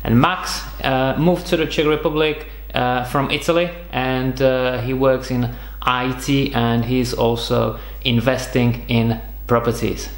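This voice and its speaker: A man's voice: mid-range voice, level tone, measured pace, hardly any pauses, echoey room. A man hosting an interview podcast, asking questions in a casual way with many fillers. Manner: friendly prompting with many fillers. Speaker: podcast host.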